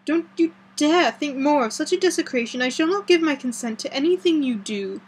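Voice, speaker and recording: A woman's voice: high-pitched, expressive, fairly quick, voice pushed up. A woman reading an anguished, indignant young lord in an audiobook, with impassioned, formal exclamations. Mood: outraged.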